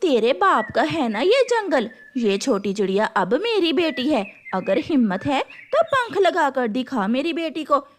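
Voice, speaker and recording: Woman voicing a high-pitched protective mother crow. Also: sing-song, a little slow, hardly any pauses, slight background noise. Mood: fierce. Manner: motherly, emphatic. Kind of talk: Urdu cartoon dubbing.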